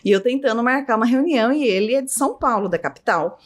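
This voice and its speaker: Lively middle-aged woman storyteller. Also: fast. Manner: lively storyteller, voice jumps up high in anecdotes, laughs often. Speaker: podcast guest, business owner. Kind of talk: casual storytelling interview.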